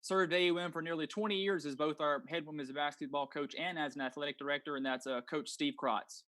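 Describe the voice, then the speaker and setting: Slightly high-voiced male host. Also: fairly even intonation, fairly quick, a bit bright, no room sound. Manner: filler-heavy, repeats words. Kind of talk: introducing panel guests.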